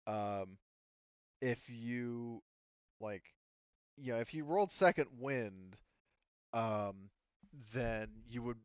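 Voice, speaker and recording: A man's voice: medium pitch, slow pace, pauses often, clean tone, no room sound, dull audio. A man casually explaining tabletop game rules as game master, thinking aloud and hedging as he goes.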